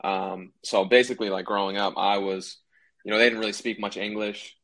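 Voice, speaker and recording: A man's voice: lowish voice, fairly even intonation, fairly quick, a bit bright. A young man from New Hampshire, a rapper guesting on a podcast, talking in a laid-back way with lots of fillers. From New Hampshire, USA. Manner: laid-back, uses lots of fillers like "like" and "you know what I mean". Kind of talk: casual podcast interview.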